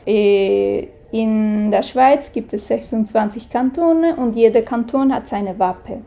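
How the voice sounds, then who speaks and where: Slow-spoken Swiss woman with gravelly voice. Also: fairly high pitch, dark tone. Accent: Swiss German. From Switzerland. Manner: hesitant, searching for words with many fillers. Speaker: guest. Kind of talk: casual interview.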